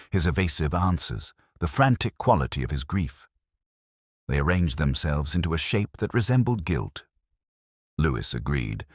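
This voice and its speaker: Really deep male voice reading a detective story, brooding and measured, super dry sound. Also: no room sound. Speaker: narrator. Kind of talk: scripted first-person detective story narration.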